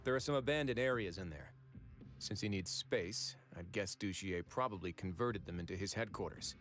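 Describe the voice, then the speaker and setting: A calm, deep-voiced fortress warden. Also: male voice. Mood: decisive. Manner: calm, measured, authoritative. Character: composed male warden of an underwater fortress. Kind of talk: game cutscene voice acting. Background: faint game music.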